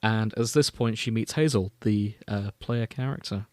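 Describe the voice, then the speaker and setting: A man's voice: on the low side, moderate pace, darkish tone, no room sound. Man reading a story, dry close recording. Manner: storytelling, alternating read-out prose with casual asides. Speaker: podcast host. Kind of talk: reading and summarizing fan fiction.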